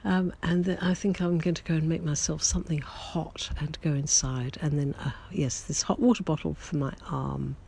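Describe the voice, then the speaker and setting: A writer keeping a daily audio diary, talking to listeners in a gentle, halting way with many pauses and self-corrections, a little hoarse after losing their voice that morning. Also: mid-range voice, steady tone, slight background noise. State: recovering from a lost voice, slightly hoarse. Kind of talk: slow reflective personal chat to listeners.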